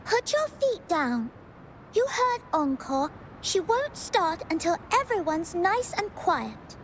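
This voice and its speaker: A woman's voice: extremely high pitch, sing-song, slow, a little hiss or hum, full character voice. A woman playing an earnest, bossy small child with an insistent manner in a video game. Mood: scolding. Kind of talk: video game character acting.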